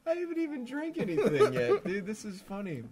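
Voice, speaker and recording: A man's voice: high voice, sing-song, a little fast, over a noisy crowd. A young man co-hosting a tipsy podcast chat, joking around and laughing often. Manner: laughs a lot, jokey. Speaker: podcast co-host. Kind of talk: drunken casual chat.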